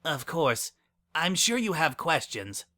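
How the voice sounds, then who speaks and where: Man doing a nervous, higher-pitched female pony. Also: big pitch swings, slow, pushed and bright, full character voice. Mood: nervous, awkward. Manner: hesitant, awkward, anxious. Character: flustered, nervous young female pony musician. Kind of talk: dramatic story reading.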